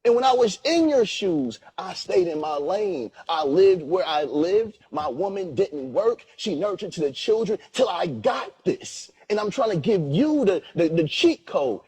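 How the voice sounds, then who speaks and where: A man's voice: sits high, big pitch swings, measured pace, hardly any pauses, darkish tone, husky. A man earnestly exhorting in a preachy, emphatic way, heard in a played clip.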